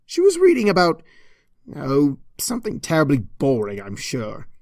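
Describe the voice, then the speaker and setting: Super animated narrator reading a funny story, arch and mock-pompous, really dry close recording. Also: mid-range voice, moderate pace, no room sound. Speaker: fan fiction reader. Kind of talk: scripted comedic story narration.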